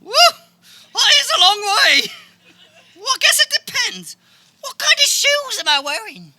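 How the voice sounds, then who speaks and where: A woman playing a dim, cowardly, excitable male suitor in a live radio farce, with a hesitant, literal-minded delivery. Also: very high voice, dry recording, heavily acted. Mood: fussy, evasive. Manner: hesitant, literal-minded, exclamatory, drawn-out words. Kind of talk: comic radio theater acting before a live audience. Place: backyard, before a live audience. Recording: noisy live backyard recording.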